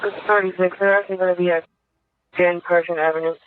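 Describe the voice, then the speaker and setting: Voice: mid-range voice, level tone, quick pace, a little hiss or hum. An emergency dispatcher relaying details over a two-way radio in narrow, tinny audio.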